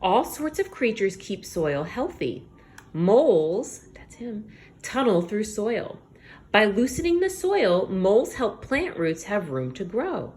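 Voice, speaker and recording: A woman teacher reading aloud to young children, expressive, pausing to explain things simply and check in with her listeners. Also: medium pitch. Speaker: elementary school teacher.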